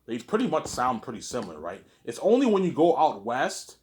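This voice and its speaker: A man's voice: mid-range voice, wildly swooping pitch, brisk, a little mellow. A man with a slight Canadian accent talking in a rambling casual monologue, often restarting and tagging on "obviously". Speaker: vlogger.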